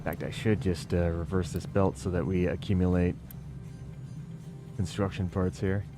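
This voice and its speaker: A man giving casual, musing commentary while playing a building game, thinking aloud with relaxed asides. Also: sits low, normal speed, music in the background. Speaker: gaming video creator.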